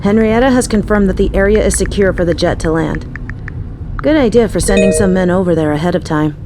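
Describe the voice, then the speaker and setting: A woman playing a young female mafia boss in an audio roleplay, possessive and menacing yet doting, speaking deliberately. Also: mid-range voice, music in the background, natural voice. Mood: wry, doting. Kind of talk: scripted audio roleplay acting.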